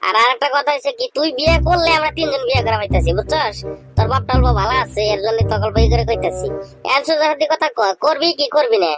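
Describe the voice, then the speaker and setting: Man doing a high, fast-talking cartoon village schemer. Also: lively intonation, loud music behind the voice. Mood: pushy, scolding. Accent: rural regional Bengali. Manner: colloquial, badgering, persuasive. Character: scheming, pushy young village bachelor pal. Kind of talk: comic cartoon dialogue.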